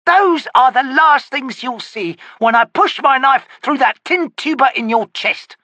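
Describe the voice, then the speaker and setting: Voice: sits high, lively intonation, unhurried, bright, forceful tone, no echo, pitched above the performer's own voice, full character voice. A narrator voicing a sarcastic, teasing man who needles a woman. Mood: mocking. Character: mocking, teasing man with bushy brows.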